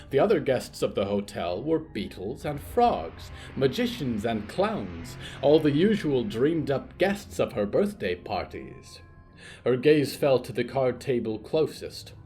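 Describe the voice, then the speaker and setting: A male narrator reads dramatic fiction with a theatrical, literary delivery. His middle-pitched voice rises and falls widely, deliberate in its phrasing, over soft guitar music. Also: big pitch swings. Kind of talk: scripted dramatic story narration.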